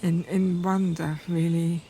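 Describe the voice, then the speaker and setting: A woman reflecting aloud in a deliberate, unscripted way, searching carefully for her words. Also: lowish voice, flat delivery, vocal fry. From northern England. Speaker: poet, documentary subject. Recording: outdoor field recording with environmental noise. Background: birdsong and outdoor ambience.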